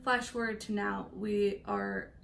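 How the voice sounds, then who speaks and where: A woman in her late twenties with a raspy voice, chatting casually through a makeup tutorial and rambling between stories with laughs. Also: medium pitch, steady tone, takes it slowly, a bit bright, a little hiss or hum. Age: late 20s. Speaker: beauty vlogger.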